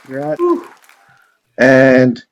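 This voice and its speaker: A man hosting a casual group discussion after a script reading, talking in a halting, loosely worded, appreciative way. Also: medium pitch, huge pitch swings, gravelly, no echo, muffled recording. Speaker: table read host.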